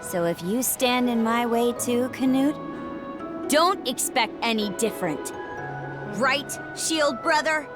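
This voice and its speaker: A woman's voice: fairly high pitch, animated, slow pace. An actress playing a proud, blunt, determined young warrior woman in a video game, speaking in a tough, earnest, defiant way. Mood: resolute. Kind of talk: dramatic video game voice acting. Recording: game music under the voice.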